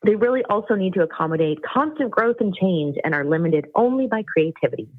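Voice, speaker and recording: A woman's voice: mid-range voice, animated, brisk, no room sound. A woman from Costa Rica who runs a web agency, explaining her business steadily in an interview. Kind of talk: interview answers. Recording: tinny phone-like line, dry.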